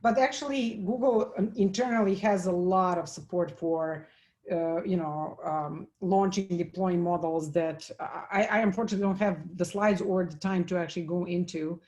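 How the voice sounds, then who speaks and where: Halting woman giving a tech talk. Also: lowish voice, darkish tone. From Serbia. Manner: halting, many fillers and restarts. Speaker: conference speaker. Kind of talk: technical talk with Q&A.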